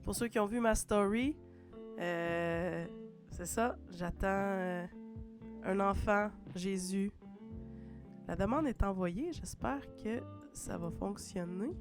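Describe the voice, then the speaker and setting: A woman's voice: medium pitch, slow pace, over music. A woman with a Québécois French accent hosting a livestream while sick with a cold, chatty and full of "euh" fillers and playful asides. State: congested with a cold. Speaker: livestream host.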